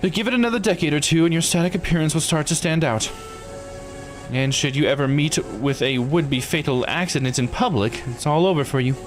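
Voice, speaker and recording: A man voicing a composed, blunt law officer in a visual novel, explaining things in a measured, formal way. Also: fairly high pitch, faint music behind, natural voice. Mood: blunt, grave.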